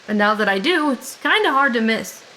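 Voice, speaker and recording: Young female actor as a sharp, take-charge supernatural investigator; high, bright voice, deadpan teasing, comedy audio drama. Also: moderate pace. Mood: firm, teasing. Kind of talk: audio drama acting, naturalistic comedic banter.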